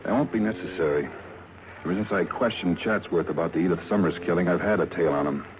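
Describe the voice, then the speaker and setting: An actor playing a steady, matter-of-fact American police detective in a 1950s radio drama, reasoning deliberately. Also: male voice, sits low, close to an everyday voice. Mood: businesslike. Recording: noisy vintage 1950s radio recording.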